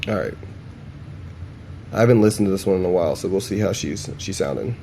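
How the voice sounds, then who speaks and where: Mellow, deep-voiced man chatting casually. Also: brisk, a little hiss or hum. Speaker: video host demonstrating AI voice agents. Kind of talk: casual tutorial chat.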